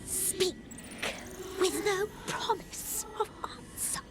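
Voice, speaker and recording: Game spirit of a murdered soul: high, slow whisper, secretive and reluctant, music behind. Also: female voice. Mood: reluctant, challenging. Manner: halting, whispered, speaks of itself as "this one". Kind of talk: dramatic game acting.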